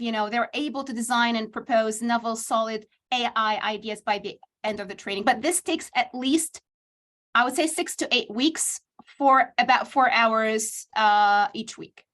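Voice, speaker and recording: A woman's voice: on the high side, a bit bright. A woman from Tunisia, a university professor earnestly explaining how she runs AI training. She speaks spontaneously with many fillers and restarts, as if on a video call. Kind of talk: spontaneous explanation in a virtual panel.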